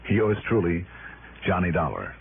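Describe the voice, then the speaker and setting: Wry investigator hero, lowish voice, animated inflection, dry delivery, tinny vintage radio drama. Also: male voice, unhurried, gravelly, heavy background noise, full character voice. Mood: rueful. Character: wry, world-weary male insurance investigator hero. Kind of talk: 1960s radio drama acting. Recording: tinny old radio broadcast, loud music.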